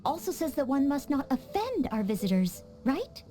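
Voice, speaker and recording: A woman's voice: high voice, big pitch swings, moderate pace. A woman voicing an earnest, dutiful young maid who trains as a knight in a video game, speaking politely and formally. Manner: polite, formal and eager to help. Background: soft game music.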